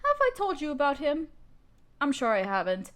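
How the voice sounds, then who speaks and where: A woman's voice: high-pitched, wildly swooping pitch, normal speed, fairly bright tone, heavily acted. A woman playing a haughty evil queen and sorceress in an audio roleplay, speaking in a theatrical, condescending, commanding way. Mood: wistful, bitter. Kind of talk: scripted audio roleplay monologue.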